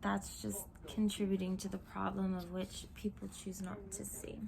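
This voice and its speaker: A woman giving a spiritual tarot reading at home into her camera. She talks in a croaky, meandering, reflective way, full of fillers, and often drops into whispers. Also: medium pitch, completely flat delivery, moderate pace, crowd noise, low-quality recording. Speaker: tarot reader. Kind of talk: spiritual card reading monologue. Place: home room. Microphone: camera mic.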